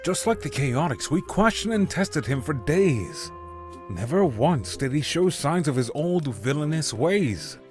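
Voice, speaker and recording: A man's voice: fairly high pitch, sing-song, faint music behind. A man voicing a courteous village mayor. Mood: earnest. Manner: courteous, measured. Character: polite male village mayor, courteous and earnest.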